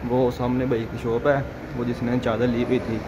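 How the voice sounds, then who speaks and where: A man's voice: mid-range voice, completely flat delivery, normal speed. A young man with a Pakistani Punjabi accent chatting casually as a vlogger, repeating phrases for emphasis, on a handheld phone. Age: young adult. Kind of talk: casual walk-around vlog chat. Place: on the move through a mall, terrace and outdoors. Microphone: handheld phone at arm's length. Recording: dull phone audio with loud background noise.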